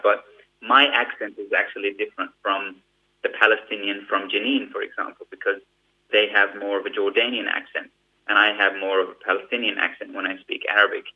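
A man from Gaza speaks as an interview guest, giving long, earnest explanations and often restarting his phrases. His voice sits a little low. The sound is thin and tinny, like it comes down a phone line. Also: level tone, a little fast. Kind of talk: interview answer.

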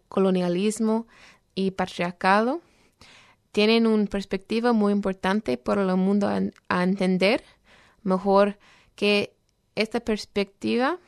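Slow, halting woman with a clear voice. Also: medium pitch, no echo, studio quality. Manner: halting, searching for words; earnest, explanatory. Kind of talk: program talk on social issues.

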